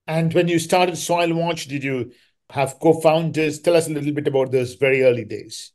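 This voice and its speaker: A man speaks as a podcast host in a fairly high voice. He delivers introductions and questions in an interview style. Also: normal speed, darkish tone.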